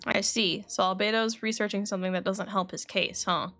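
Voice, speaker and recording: A woman's voice: mid-range voice, fairly even intonation, brisk, a bit bright, soft background music. Woman livestreamer reading game dialogue aloud. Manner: self-correcting as she reads.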